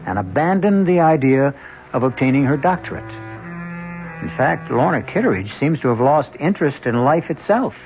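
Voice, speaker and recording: A male host narrates with theatrical, deliberate phrasing and a wry edge. Music plays clearly behind him, and the broadcast sounds muffled, like vintage radio drama. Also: on the high side. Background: prominent music.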